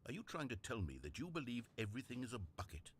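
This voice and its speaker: A man's voice: medium pitch, sing-song, fast, close to an everyday voice. A man playing a fussy, theatrical video game narrator, articulate, pompous and easily exasperated. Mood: bewildered, despairing.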